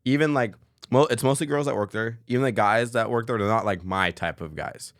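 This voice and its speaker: A young man co-hosting a casual chat, talking firmly and assertively in a deep voice. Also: no echo, clean studio sound. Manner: fast, firm, assertive talker. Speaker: podcast co-host.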